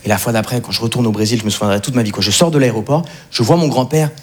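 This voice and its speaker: A young Swiss man doing a stand-up set, animated and acting out the voices he quotes. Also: medium pitch, racing. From Switzerland. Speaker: stand-up comedian. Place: comedy club stage.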